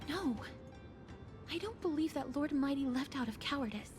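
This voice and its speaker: A woman playing a composed, thoughtful young companion in a video game, speaking in a measured, earnest and articulate way. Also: high-pitched, lively intonation, darkish tone, soft background music. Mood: calm, thoughtful. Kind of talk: video game character dialogue.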